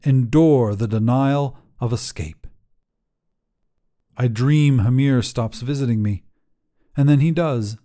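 A man narrating a literary story as a weary, desolate immortal guardian, in a solemn, measured, brooding manner. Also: medium pitch, mellow, natural voice. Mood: desolate, resigned. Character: weary, desolate immortal guardian, forsaken by gods, telling their tale.

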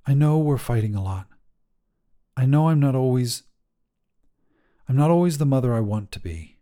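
A man voicing a frustrated but loving mother in a narrated short story, speaking in an earnest, halting way. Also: medium pitch, animated, mellow, pitched above the performer's own voice, natural voice. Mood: earnest, apologetic.

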